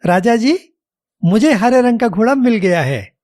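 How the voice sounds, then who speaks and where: A man playing a clever, loyal royal minister in an animated children's story, polite and deferential. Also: high-pitched, measured pace, no echo, clean studio sound. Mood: respectful.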